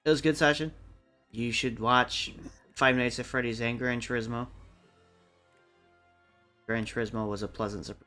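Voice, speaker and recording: A man's voice: mid-range voice, fairly even intonation, moderate pace, pauses often, sharp tone. A man talking in a casual, slangy way as co-host of a livestreamed round table, swearing now and then. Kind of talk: casual group chat on a livestream. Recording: livestream with music underneath.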